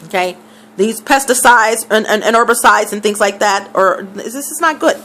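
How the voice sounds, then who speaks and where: A woman's voice: medium pitch, moderate pace, a bit bright. A woman vlogger talking casually to camera, emphatic and repeating figures for stress, with a scratchy throat.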